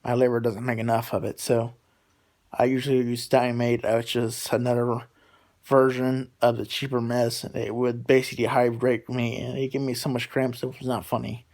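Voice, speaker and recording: Rambling young man with flat intonation. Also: medium pitch, normal speed. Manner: rambling, repeats himself, trails between thoughts. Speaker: vlogger talking to subscribers. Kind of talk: casual rambling chat.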